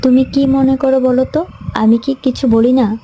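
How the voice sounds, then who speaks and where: A woman voicing a jealous, scheming young daughter-in-law in an animated folk tale, with a complaining, self-justifying manner. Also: sits high, normal speed, lightly acted, rough recording. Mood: defensive, irritated. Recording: music behind the voice.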